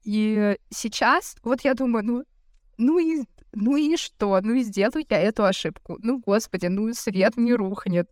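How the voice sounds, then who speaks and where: Young female co-host, thoughtful and a little hesitant, fairly high voice, dry sound. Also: a little slow, a little mellow, no room sound. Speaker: podcast co-host. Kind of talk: casual chat.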